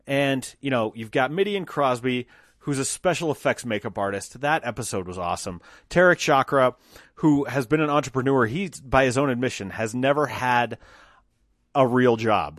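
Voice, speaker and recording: A man's voice: medium pitch, dry recording, clean studio sound. A man from Colorado hosting his podcast alone, talking unscripted, swearing freely and acting out mock quotes. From Colorado, United States. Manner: profane, animated, acts out mock quotes. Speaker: podcast host. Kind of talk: unscripted solo monologue.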